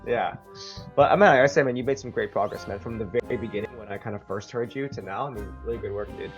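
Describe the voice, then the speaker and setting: A friendly male interviewer asks questions at a quick clip in a mid-range voice. He sounds casual and chummy. The audio is muffled and lo-fi, with faint music in the background. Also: mid-range voice. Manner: friendly, chummy, says "man" and "dude". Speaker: interviewer for a language program.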